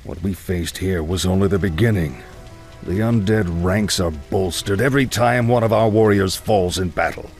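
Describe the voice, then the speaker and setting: An actor playing a stern, seasoned older paladin mentor with a commanding, admonishing manner in a video game. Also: male voice, low voice, normal speed, darkish tone, faint music behind. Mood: stern caution. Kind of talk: video game cutscene acting.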